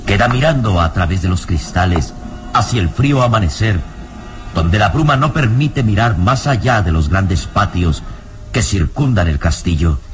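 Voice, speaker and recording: A man's voice: lowish voice, lively intonation, normal speed. A man narrating an old radio serial in a solemn, literary, dramatic scripted style. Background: prominent music.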